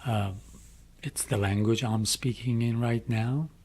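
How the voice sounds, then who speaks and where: A middle-aged man from Iran with an Iranian accent, speaking English as a second language, making a slow, earnest inspirational appeal. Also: medium pitch, steady tone, darkish tone, slightly rough. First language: Farsi. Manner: slow, deliberate, emphatic phrasing. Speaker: speaker addressing young people.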